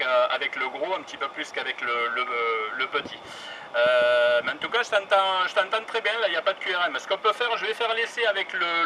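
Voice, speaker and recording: Man, slightly higher voice, hesitant and filler-heavy, radio test, noisy outdoor city recording. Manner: hesitant, many fillers and restarts. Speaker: radio hobbyist testing handhelds. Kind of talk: casual radio check. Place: outdoors on a high building in the city. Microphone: handheld radio and camera.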